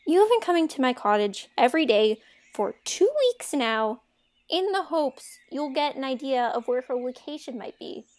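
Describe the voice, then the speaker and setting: A woman's voice: sits high, lively intonation, moderate pace, a little mellow. A woman playing a sarcastic, exasperated young fairytale heroine in an audio drama, talking in casual modern phrases.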